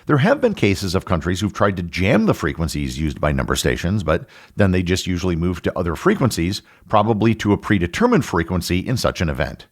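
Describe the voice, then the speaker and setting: A man hosting a podcast, reading a scripted educational narration in a measured, explanatory way with emphatic phrasing. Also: low-pitched, big pitch swings. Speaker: podcast host.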